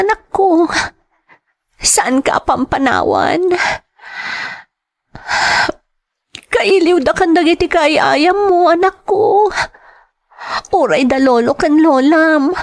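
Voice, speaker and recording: A woman's voice: extremely high pitch, exaggerated voice. A woman playing an anxious, devoted young mother in an audio drama, with a tender, emotional, pleading delivery.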